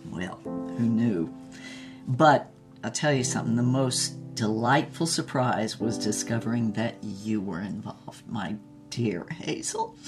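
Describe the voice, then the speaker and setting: An actress playing a defiant, tender older woman artist, telling stories in an emphatic, theatrical way for an audio drama. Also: rumbling low. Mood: wistful regret. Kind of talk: audio drama acting, storytelling.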